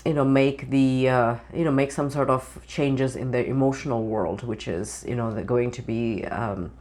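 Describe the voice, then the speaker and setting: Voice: medium pitch, fairly even intonation, moderate pace, darkish tone. A tarot reader recovering from the flu, voice changed by it, giving a channeled reading to camera and rambling with many fillers. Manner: meandering, with many "you know" and "um" fillers.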